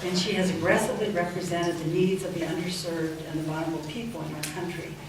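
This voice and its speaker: A woman's voice: fairly low pitch, fairly even intonation, fast. Woman reading an introduction, echoey room. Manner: reads with frequent fillers. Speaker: event host introducing a speaker. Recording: echoey room, distant mic, loud noise.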